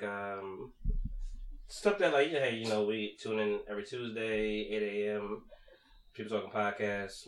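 A man's voice: on the low side, a little hiss or hum, low-quality recording. A man hosting and producing a podcast, talking loosely with lots of fillers and frequent laughs while setting up a studio session. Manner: laid-back, laughs often, loose filler-heavy talk. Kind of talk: casual studio banter.

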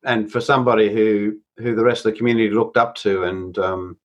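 Interviewer, man, lowish voice, thoughtful and unhurried. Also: little pitch movement, dull audio. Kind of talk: gentle probing questions.

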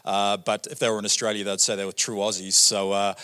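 Chatty New Zealand pastor preaching. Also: male voice, on the low side, little pitch movement, quick pace, bright, forceful tone, smooth. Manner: chatty, joking, many fillers and "right?" tags. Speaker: guest pastor. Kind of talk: sermon. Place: church auditorium.

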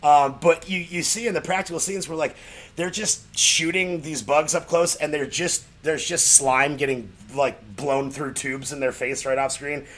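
A man in casual conversation about films, talking in a rambling, halting, crudely joking way. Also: on the high side, fairly bright tone. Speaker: podcast guest, actor. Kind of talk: casual film chat.

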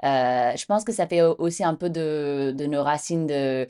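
A woman's voice: sits low, normal speed, warm tone, smooth. A woman interviewing a guest in casual chat, asking questions with many fillers. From United States. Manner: friendly, hesitant questions with fillers.

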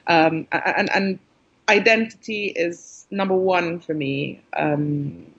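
A woman's voice: lowish voice, slow, slightly rough, muffled recording. A British woman, a cookbook author, talking frankly in a casual interview, full of fillers and quoted dialogue. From Britain. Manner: animated, frank storytelling with frequent fillers.

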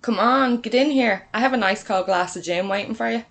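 A woman's voice: fairly high pitch, brisk, gravelly. A woman voicing a welcoming, breezy, house-proud sister with an Irish accent in a story. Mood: welcoming, excited.

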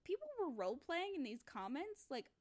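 A woman's voice: sits high, very animated, a little fast. A young woman co-hosting a podcast, telling a rambling story in casual chat. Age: young adult. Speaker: podcast co-host.

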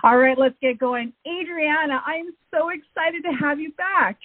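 A woman's voice: high voice, moderate pace, no room sound. A woman hosting an interview show, talking enthusiastically and effusively. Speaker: show host. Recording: phone-like narrow audio.

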